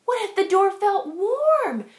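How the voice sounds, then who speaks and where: Woman voicing a worried little girl, squeaky and alarmed, storybook read-aloud, echoey room. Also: sing-song, slow, full character voice. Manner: high, alarmed and fretful. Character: anxious young schoolgirl, a worrier.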